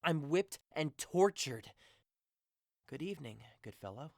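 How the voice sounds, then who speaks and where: A man's voice: on the high side, expressive, a little slow, no room sound, clean studio sound. A man playing a lovesick, melancholy young nobleman with dramatic, emotive delivery in an audio drama. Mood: miserable, dramatic. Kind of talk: audio drama acting of modernized Shakespeare.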